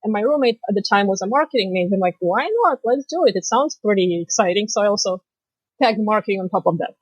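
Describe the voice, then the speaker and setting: A woman from Moldova with a Russian accent, native Romanian speaker, telling her story as an interview guest with lively storytelling. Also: mid-range voice, quick pace, husky, dry recording, highs cut off. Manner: lively storytelling, laughs easily. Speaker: guest, financial planner. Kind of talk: casual interview chat.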